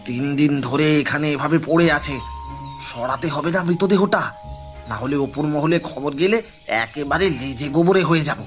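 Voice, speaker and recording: A man playing a shady, bossy ringleader in an audio horror story, giving curt, urgent commands in a hushed way. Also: a little high, measured pace, faint music behind, lightly acted. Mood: tense, ordering. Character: shady, bossy male ringleader covering up a crime.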